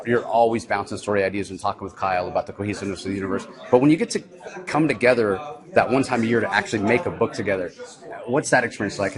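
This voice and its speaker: Guy podcast host chatting quick and friendly-casual, busy convention crowd behind him. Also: lowish voice. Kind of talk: casual interview. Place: convention floor, recorded live.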